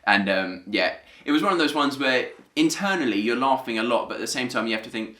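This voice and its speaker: A man's voice: mid-range voice, lively intonation, quick pace, pushed and bright. A British man chatting casually and jokily as he comments on a stage show he performed in. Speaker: amateur pantomime cast member. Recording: roomy echo.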